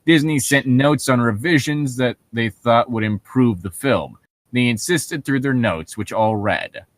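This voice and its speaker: A man co-hosting, reading internet horror stories aloud with casual commentary in a halting, self-correcting manner. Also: mid-range voice, dry recording.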